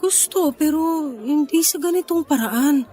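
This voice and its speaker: Soft-hearted filipino lover in a radio drama, high pitched, hesitant n pleading, bit of music behind. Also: female voice, a little slow.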